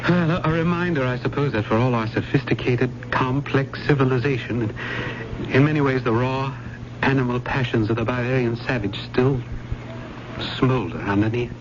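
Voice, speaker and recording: An actor playing a grieving, polite widowed professor in a radio drama, speaking formally and deliberately. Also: male voice, mid-range voice, natural voice. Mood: grieving, brooding. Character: grieving, stuffy-but-polite widowed male English literature professor. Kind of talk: 1970s radio drama acting. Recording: muffled, noisy old radio broadcast.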